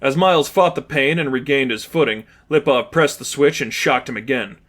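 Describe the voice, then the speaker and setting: A man's voice: mid-range voice, moderate pace, a bit bright. A man reading scripted fiction aloud as an audiobook narrator.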